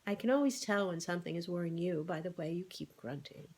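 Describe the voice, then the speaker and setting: Lady doing a motherly storybook cow, homely n plainspoken, comfy low-ish voice. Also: fast talker, a little mellow, slightly rough. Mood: good-humored. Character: comfortable, good-hearted motherly female cow, modest and plainspoken.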